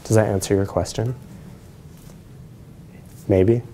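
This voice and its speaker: An American man with a fairly low voice fields questions at a talk. He explains in a plain presenter's way, speaking indoors in a lecture room. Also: fairly even intonation, slow pace, dark tone, a little hiss or hum. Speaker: presenter taking audience questions.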